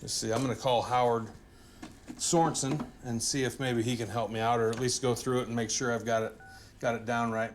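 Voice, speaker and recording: A man's voice: medium pitch, flat delivery, brisk, slight background noise. A man, a small-town mayor chatting casually and joking at his own expense. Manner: joking, self-deprecating. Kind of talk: casual chat.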